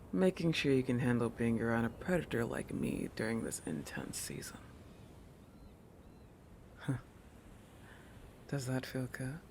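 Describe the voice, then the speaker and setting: A man voicing a possessive, oblivious shark protector in an intimate roleplay audio, halting and musing, often dropping into a breathy whisper. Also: medium pitch, slight background noise. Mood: teasing, possessive. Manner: halting, musing, with thoughtful hums.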